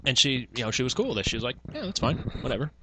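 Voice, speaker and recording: A man's voice: medium pitch, sing-song, very fast, pushed and bright, a little hiss or hum, rough recording. A man chatting casually as a podcast co-host, rambling and swearing freely as he tells stories. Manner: profane, rambling storytelling with frequent filler words. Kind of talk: casual banter.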